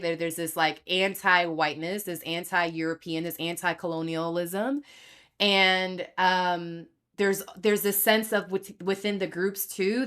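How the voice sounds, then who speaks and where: Young Dominican-born woman guest, low-pitched, candid and animated storyteller. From Dominican Republic. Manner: animated, acts out quoted voices, laughs easily. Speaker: podcast guest.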